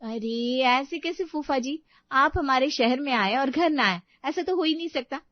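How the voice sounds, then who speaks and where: A woman's voice: high voice, expressive, a little slow, dry recording, highs cut off, pitched above the performer's own voice. A woman voicing a dutiful, emphatic young daughter-in-law in a family drama. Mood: insistent. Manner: earnest, emphatic.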